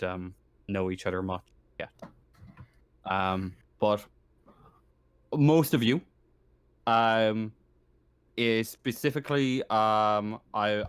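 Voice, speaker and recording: Casting: male game master, low and resonant, halting improvised narration with frequent rephrasing, dry sound. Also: smooth, no echo. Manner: halting, often rephrasing himself.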